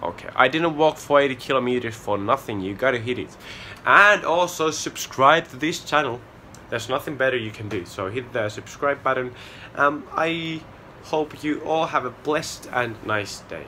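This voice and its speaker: A man's voice: medium pitch, expressive, bright, forceful tone, slight background noise. A young man with a Finnish accent, talking casually to the camera on a small outdoor porch, earnest and a little sheepish. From Finland. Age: young adult. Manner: earnest and a bit sheepish, with filler pauses. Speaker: charity walker. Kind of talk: casual vlog talk to camera. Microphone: camera at close range.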